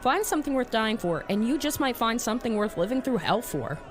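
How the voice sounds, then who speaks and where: A woman's voice: on the high side, sing-song, fairly quick. A woman playing a resolute, persuasive resistance leader in a post-apocalyptic audio drama, composed and commanding. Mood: rousing conviction.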